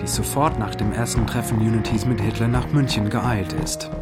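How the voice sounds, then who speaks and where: A man's voice: lowish voice, fairly even intonation, moderate pace. A man reading scripted documentary narration. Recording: loud guitar music behind voice. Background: loud guitar music.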